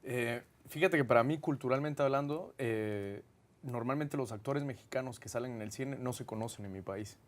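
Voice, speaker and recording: A man's voice: mid-range voice, moderate pace. A man from Guatemala with a Guatemalan accent, a film director answering interview questions in an earnest, reflective way with frequent hesitations. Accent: Guatemalan Spanish.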